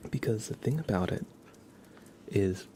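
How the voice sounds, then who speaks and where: A man speaking softly in ASMR style, partly whispering, as he casually explains things to a live stream in a gentle, halting way. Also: on the low side, expressive, a little hiss or hum.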